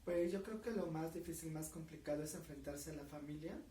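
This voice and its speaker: A woman's voice: low-pitched, warm tone, a little hiss or hum. Earnest Mexican woman in her forties. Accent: Mexican Spanish. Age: 40s. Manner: measured, earnest, with frequent fillers. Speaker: interviewee. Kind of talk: recorded interview. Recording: echoey room, mic at a distance.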